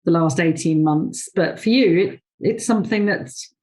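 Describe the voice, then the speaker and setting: A woman's voice: lowish voice, normal speed, darkish tone. A woman hosting a podcast interview over a video call, talking in a chatty, rambling way with many fillers. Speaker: podcast host, awards founder. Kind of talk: conversational interview.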